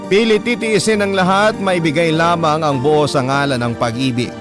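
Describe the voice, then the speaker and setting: A man's voice: on the high side, normal speed. A man narrating dramatic letters as a radio host, in a sentimental, confessional manner. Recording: loud music under voice. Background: music.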